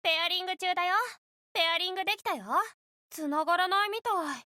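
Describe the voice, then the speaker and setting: A woman's voice: very high-pitched, racing, sharp tone, no echo. An actress voicing a caring, determined young woman, a game heroine, in scripted character lines. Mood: bright, friendly. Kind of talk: Japanese character voice acting, scripted voice prompts.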